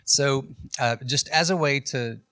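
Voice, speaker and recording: A man's voice: mid-range voice, measured pace, fairly bright tone, clean tone, no room sound. Dry-voiced American man hosting. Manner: friendly, informal, with frequent um and uh. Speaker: event host, interviewer. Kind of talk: announcements and interview questions.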